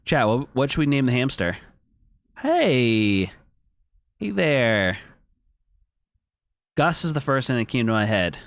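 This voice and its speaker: Man, game streamer, casual running commentary to viewers, mid pitch, dry close mic. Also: sing-song, slow pace, long pauses, no echo. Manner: thinks aloud, chats to viewers, reads on-screen text. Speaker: gaming streamer. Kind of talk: casual let's-play commentary.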